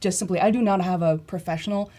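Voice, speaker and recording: A woman's voice: on the low side, quick pace, dark tone. A woman in her thirties, a guest on a livestream, talking in an upbeat, fluent and enthusiastic way. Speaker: livestream guest, content creator. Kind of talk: casual livestream chat.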